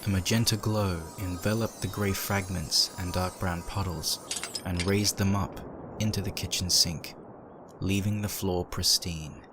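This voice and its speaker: A man acting as the brooding, uneasy narrator of a dark horror audio drama, reading in a dramatic scripted style. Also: sits low, steady tone, a little slow. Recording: noisy, with background ambience effects.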